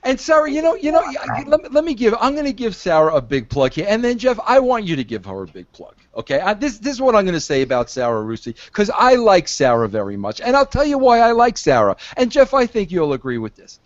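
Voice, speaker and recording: Podcast host, male, 50s, pushy and lively, dull dry audio. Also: high voice, fast, few pauses, no echo. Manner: pushy, coaxing, repeats himself. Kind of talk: casual podcast chat.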